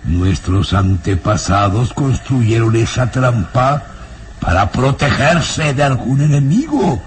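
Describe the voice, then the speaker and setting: A man's voice: medium pitch, animated. An actor playing a sinister, scheming middle-aged baron in a melodramatic radio serial, imperious and gloating, drawing out his words. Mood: sly, instructing. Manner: imperious, slow gloating delivery with drawn-out words. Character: sinister scheming baron, menacing, gloating father and castle lord. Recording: noisy old radio drama recording.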